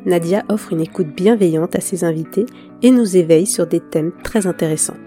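Femme enrhumée à la voix nasillarde. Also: female voice, fairly low pitch, fairly quick, faint music behind. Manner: gentle, hesitant questions with fillers. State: has a cold, nasal voice. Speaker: podcast host. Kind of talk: podcast interview.

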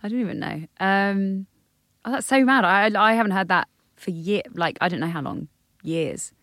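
A woman's voice: medium pitch, expressive, moderate pace, a bit bright, dry recording, studio quality. A young woman musician, a guest on a podcast, chatting loosely and laughing often. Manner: laughing, loose, self-deprecating. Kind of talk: casual chat.

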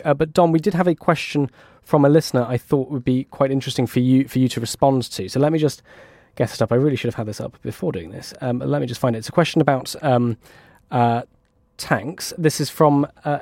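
A man hosts a podcast with an informal, polite delivery. He talks very quickly in a mid-range voice, and the recording is dry. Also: mid-range voice, a little mellow, no echo. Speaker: podcast host. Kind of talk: informal presenting.

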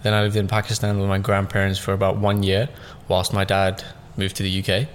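Young guy, rambling podcast guest chat, a bit low, faint room hum behind. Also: completely flat delivery, brisk. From born in Russia, raised in southeast London. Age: 20s. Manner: loose and rambling, many fillers and "like"s. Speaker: podcast guest, business owner. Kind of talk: casual interview chat.

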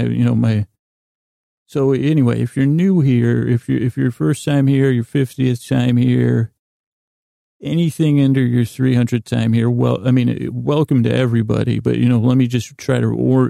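Creaky-voiced American man, meandering and mellow. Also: medium pitch, no room sound, clean studio sound. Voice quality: gravelly, creaky. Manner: meandering, self-correcting, stumbling over and mangling words; lighthearted, gently silly. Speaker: podcast host. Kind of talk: rambling bedtime monologue to lull listeners to sleep.

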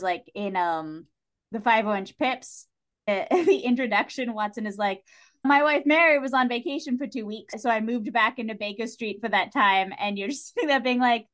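A woman's voice: fairly high pitch, a bit bright. Woman chatting casually with emphatic opinions. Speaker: podcast guest discussing a TV series. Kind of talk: casual animated chat.

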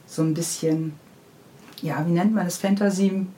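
A woman's voice: lowish voice, steady tone, moderate pace, mellow, slight background noise. A woman who writes children's books, answering interview questions in an unscripted, conversational way. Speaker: children's book author being interviewed.

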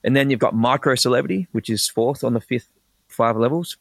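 A man with an Australian accent, a podcast guest explaining ideas in quick, enthusiastic bursts with frequent restarts. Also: medium pitch, gravelly, no room sound, clean studio sound. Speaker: guest, personal branding expert. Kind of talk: casual podcast interview.

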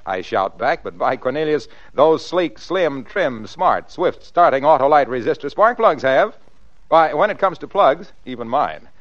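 A man announcing a comic 1940s radio commercial with exuberant, folksy sales patter. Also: on the high side. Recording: muffled old radio recording.

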